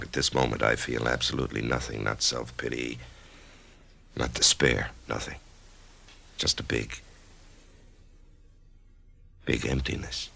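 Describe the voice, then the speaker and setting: An actor playing a middle-aged American man facing death, introspective and halting, narrating his own ordeal in a radio drama with a gravelly voice. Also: very deep voice, pushed and bright, full character voice. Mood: bleak, numb. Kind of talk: radio drama acting with first-person narration.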